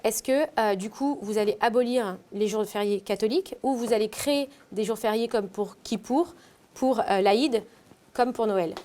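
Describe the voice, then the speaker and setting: A woman with a slightly high voice hosts a casual interview. She asks her questions in a friendly, teasing way. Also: normal speed, mellow. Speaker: interviewer, influencer host.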